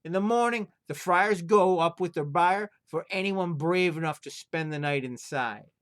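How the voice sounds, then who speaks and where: A man's voice: high voice, normal speed, fairly bright tone, voice pushed up. A storybook innkeeper, voiced by a man storyteller, speaking up in a raised voice. He is emphatic and foreboding, warning travellers with relish for every dreadful detail. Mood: ominous warning. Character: innkeeper giving an ominous warning.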